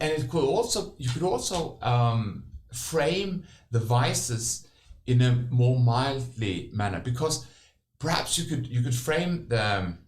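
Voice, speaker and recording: A man from the west coast of Norway, co-hosting a conversational podcast and talking in halting phrases full of restarts. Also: mid-range voice. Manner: halting, many restarts and repeated words. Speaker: podcast co-host. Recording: roomy echo.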